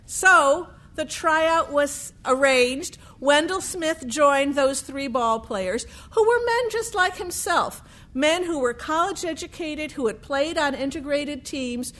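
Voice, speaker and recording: A woman telling a true sports history story in a deliberate way, with dramatic pauses and emphasis. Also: sits high, lively intonation, a bit bright.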